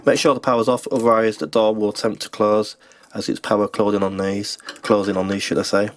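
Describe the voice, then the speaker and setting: A man's voice: fairly low pitch, little pitch movement, a little fast. A man narrating an informal how-to as a repair technician, speaking matter-of-factly while he works with his hands. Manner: matter-of-fact, talking while working with hands. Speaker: repair technician and video host. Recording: clearly noisy recording with clicks.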